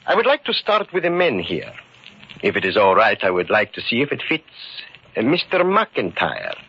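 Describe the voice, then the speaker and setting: An actor playing an earnest immigrant shoemaker turned amateur detective in a radio mystery, speaking in careful, formal phrases. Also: male voice, lowish voice, wildly swooping pitch, moderate pace, husky, exaggerated voice. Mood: methodical. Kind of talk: old-time radio mystery acting. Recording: muffled vintage radio recording.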